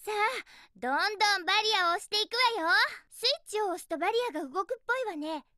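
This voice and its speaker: A woman playing a perky, feisty young female hedgehog heroine in a Japanese video game, girlish, energetic and bossy. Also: very high-pitched, quick pace, dry recording, clean studio sound. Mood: upbeat, determined.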